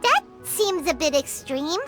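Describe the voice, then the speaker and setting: A woman's voice: sits high, expressive, unhurried, loud music behind the voice. A woman voicing a tiny, inquisitive, childlike fairy companion in a video game. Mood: curious.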